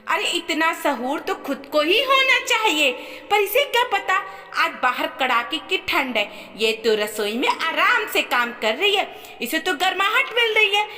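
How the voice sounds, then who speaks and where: A woman's voice: extremely high pitch, sing-song, measured pace, sharp tone, soft background music, pitched above the performer's own voice, full character voice. A woman storyteller voicing a bossy, stingy old mother-in-law who taunts and commands in a family storybook tale. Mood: taunting complaint. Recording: long reverberant echo on voice.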